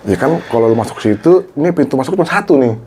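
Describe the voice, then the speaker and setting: This is a middle-aged man, relating his own experience in a relaxed podcast chat. His voice sits in an ordinary middle pitch, and he acts out the lines of the people in his story, growing livelier as the tension builds. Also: fast, a little hiss or hum. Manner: animated, acts out quoted dialogue, Jakarta slang. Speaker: guest, former TV cameraman.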